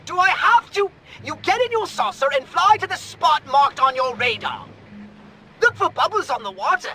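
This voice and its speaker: An actor voicing an irascible, scheming alien commander in a cartoonish video game, pompous and sarcastic, with a shrill, gravelly voice. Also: male voice, animated, normal speed, bright, forceful tone, full character voice. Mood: sarcastic, exasperated.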